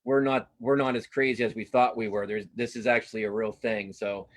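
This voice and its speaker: Low-pitched man chatting casually. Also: little pitch movement, a little fast. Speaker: cartoonist guest. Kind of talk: casual interview chat about own work.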